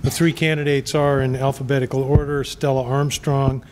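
A man's voice: medium pitch, little pitch movement, normal speed. Middle-aged guy moderating a forum, podium mic, clicks and noise in the background. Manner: plain, hesitant with uhs. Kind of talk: event introductions. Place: banquet room. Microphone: podium microphone.

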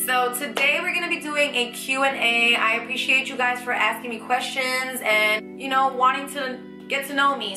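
Chatty young woman vlogging in an echoey room. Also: on the high side. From United States. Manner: chatty, animated, lots of fillers and restarts. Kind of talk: casual Q&A vlog. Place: small bedroom with shelves, indoors.

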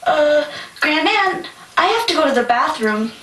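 A woman's voice: high-pitched, sing-song, unhurried, a bit bright, heavily acted. A woman playing a clever, brave young girl in a puppet show. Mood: nervous, pleading. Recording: echoey, distant, slightly noisy stage recording.

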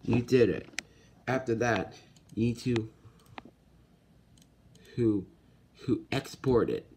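A man giving a casual software tutorial step by step, haltingly and correcting himself as he goes. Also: medium pitch, creaky. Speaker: tutorial host. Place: indoors at a computer. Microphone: handheld camera or phone filming the screen. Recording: noisy handheld recording with computer clicks.

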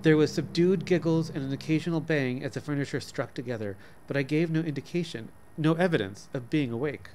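A man's voice: on the high side, fairly quick, hardly any pauses, a little mellow, a little hiss or hum. A man in his forties reading a short story aloud expressively, sometimes stumbling and rereading words. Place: small office, indoors. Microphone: desk microphone in front of him.

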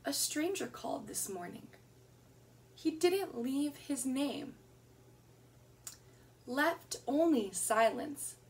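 Young woman teacher, gentle, a bit distant. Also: high voice, expressive, slow pace, pauses often, slight background noise. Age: young adult. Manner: gentle, encouraging, speaks to children. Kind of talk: online lesson with poem reading. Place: home room with plants and shelves, indoors. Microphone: webcam at desk distance.

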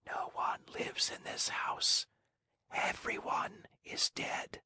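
A man voicing a ghostly pale maiden who speaks only in a barely audible, breathy whisper. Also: slow, natural voice. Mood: ghostly faint. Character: ghostly pale maiden, faint and deathly still.

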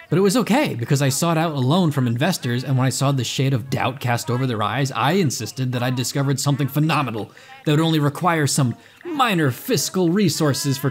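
An actor playing a cocky, smooth-talking inventor who teases and boasts in an audio drama. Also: male voice, medium pitch, lightly acted. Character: cocky, glib inventor and self-styled man of science.

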